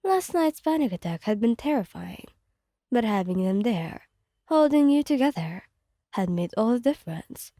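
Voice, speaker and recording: Soft, mellow woman reading a story. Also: on the high side, big pitch swings, normal speed, no echo, clean studio sound. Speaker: fan fiction reader. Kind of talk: soft ASMR storytelling, scripted narration.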